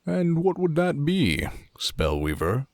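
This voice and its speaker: A man's voice: fairly high pitch, huge pitch swings, slow, no room sound, studio quality, exaggerated voice. A man playing an earnest, polite druid pilgrim in a fantasy audio drama, formal and inquisitive. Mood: curious.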